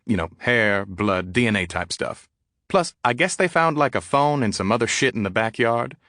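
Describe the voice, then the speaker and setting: A man's voice: lowish voice, lively intonation, moderate pace, pushed and bright, dry recording, studio quality, pitched above the performer's own voice. A man voicing a flamboyant, gossipy Southern man with a drawl in an audiobook. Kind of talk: audiobook character voice.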